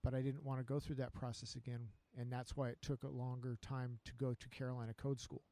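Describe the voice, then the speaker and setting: Guy in his fifties, unhurried and earnest, dry close sound. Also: medium pitch, little pitch movement, warm tone, dry recording. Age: 50s. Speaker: guest. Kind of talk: casual interview chat.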